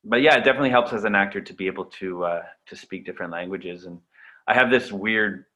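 A man in his thirties with a low, bright voice talks casually as an interview guest, circling his points with fillers and restarts. He grew up in Canada, and German was his first language. The recording is a little dull and lo-fi. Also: quick pace. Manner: relaxed, rambling with many fillers and restarts.

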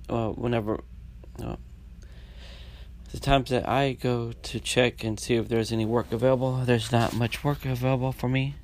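A man with a stuffy, congested nose, hosting a casual solo diary podcast and talking in a halting way with many fillers and restarts. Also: medium pitch. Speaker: podcast host.

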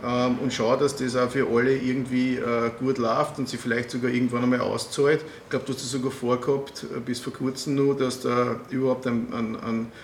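A middle-aged man with an Austrian accent, interviewing a guest in a hesitant, measured way with many fillers. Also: mid-range voice, level tone, echoey room, slight background noise. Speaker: interviewer and music booker. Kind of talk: casual interview chat.

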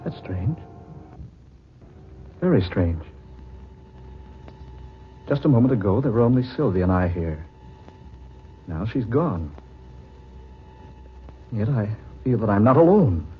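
Suave haunted gentleman, theatrical, animated pitch, 1940s radio play, muffled recording with faint noise. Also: slow pace, pauses often. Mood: uneasy. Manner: theatrical, genteel. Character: suave gentleman turning frantic, haunted by a rival.

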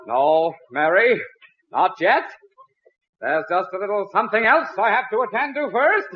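A man's voice: high-pitched, lively intonation, unhurried. An actor playing an exasperated, sputtering middle-aged father in a 1940s radio comedy. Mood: flustered, exasperated. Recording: muffled, hissy 1940s radio recording.

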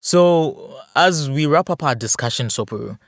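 A man's voice: on the high side, expressive, moderate pace, dry recording. Man hosting an interview, dry close sound. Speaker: podcast host, interviewer. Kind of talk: interview questions.

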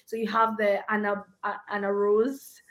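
A woman's voice: medium pitch, flat delivery, moderate pace, a bit bright. A woman from Ghana with an American accent, a musician chatting casually and hesitantly as a podcast co-host. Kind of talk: casual conversation.

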